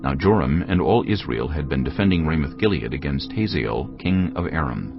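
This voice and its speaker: A man's voice: extremely low pitch, lively intonation, normal speed, gravelly. A man narrating scripture solemnly for a dramatized audio production. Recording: dull lo-fi audio with music.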